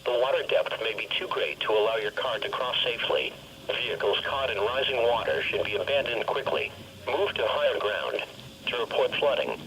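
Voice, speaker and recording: A synthetic male announcer with a mid-pitched voice reads official weather bulletins. The delivery is formal, measured and impersonal. It comes through a weather radio with some noise around it. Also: a bit bright. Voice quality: synthetic text-to-speech voice. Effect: weather radio broadcast. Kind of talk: scripted weather alert and conditions readout. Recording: noisy weather radio picked up in a room. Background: background noise.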